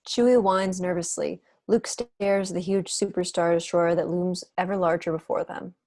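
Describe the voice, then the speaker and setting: Low-voiced woman reading stage directions. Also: steady tone, normal speed, a little mellow, no echo, clean studio sound. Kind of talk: scripted narration. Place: indoors on a video call.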